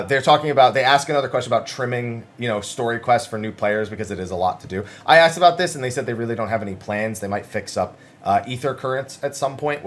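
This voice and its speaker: A man hosting gaming news commentary, talking casually and emphatically as he sums up interviews in an informal, conversational way. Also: fairly low pitch.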